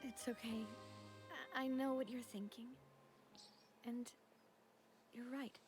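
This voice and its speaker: A woman acting a gracious, gentle young female leader in a video game, grieving yet composed, over music. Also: on the high side, steady tone. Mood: sorrowful, grateful. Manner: gentle, measured, sincere. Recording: music behind the voice.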